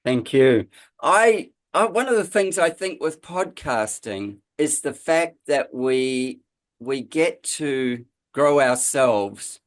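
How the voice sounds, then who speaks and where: A man's voice: medium pitch, lively intonation, takes it slowly. A man hosting an interview podcast, speaking in a conversational, reflective way. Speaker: podcast host.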